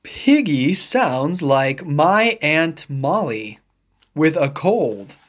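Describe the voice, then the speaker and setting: A kindly, polite storybook elephant, acted out by a woman reader, lower in pitch and very slow. Each phrase comes haltingly and tactfully, with careful hesitation. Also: big pitch swings, heavily acted. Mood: dismayed.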